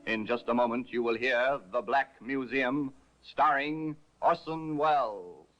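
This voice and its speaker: A man's voice: medium pitch, unhurried, heavy background noise. Formal vintage radio announcer man. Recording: dull old radio broadcast with music.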